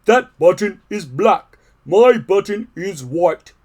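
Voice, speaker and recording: A man voicing a fussy, easily upset male toad in a storybook read-aloud, talking loud and complaining. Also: high voice, lively intonation, slow, pitched above the performer's own voice, full character voice.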